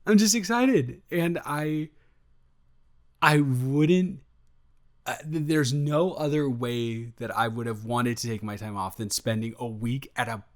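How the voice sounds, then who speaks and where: An eager golden retriever boyfriend, acted out by a man in an improvised roleplay audio. He has a mid-pitched male voice and gushes affectionately, rambling and tripping over his words in a playful, natural way. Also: a little slow, close to an everyday voice. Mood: excited, incredulous. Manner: gushing, rambling, stammering, playful.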